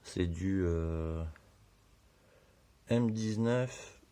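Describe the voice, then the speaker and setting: A hoarse man giving a relaxed, slangy how-to explanation full of fillers, in a home garage. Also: deep voice, very slow. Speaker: motorbike hobbyist.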